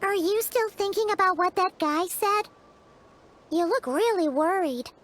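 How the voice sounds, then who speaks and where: Anime game sidekick: perky little fairy, extremely high and squeaky, bright, lively. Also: female voice, measured pace, a little hiss or hum. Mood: curious, concerned. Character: small childlike fairy companion, perky and inquisitive. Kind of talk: anime-style video game acting.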